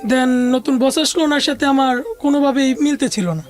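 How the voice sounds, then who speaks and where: A woman with a clearly high voice and a Bangladeshi accent shares a personal story as an interview guest. She talks conversationally, dropping English words into her speech, with faint music behind her. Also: normal speed. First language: Bengali. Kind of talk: recounting a personal life story.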